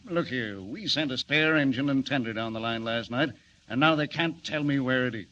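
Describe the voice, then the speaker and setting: An actor playing an irritable, harried railroad superintendent with brusque speech in a 1940s radio serial. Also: male voice, mid-range voice, moderate pace, bright, forceful tone, close to an everyday voice. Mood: exasperated. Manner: brusque, exasperated, barking. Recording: dull, noisy old radio recording.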